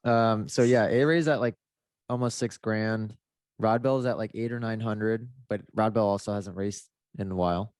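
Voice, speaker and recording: A man's voice: fairly low pitch, fairly even intonation, normal speed, a little mellow, dry recording. A man chatting casually in a podcast, rambling and laid-back with lots of fillers, his nose a little stuffed up. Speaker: motocross racer.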